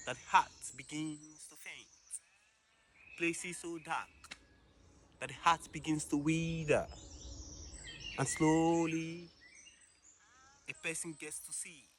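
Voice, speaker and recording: A man with a slightly high voice delivers a reflective monologue very slowly and solemnly. He leaves long, deliberate pauses between phrases. Birdsong and light outdoor noise sit behind him. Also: fairly even intonation, fairly bright tone. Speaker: monologue performer. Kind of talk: dramatic reflective spoken-word monologue.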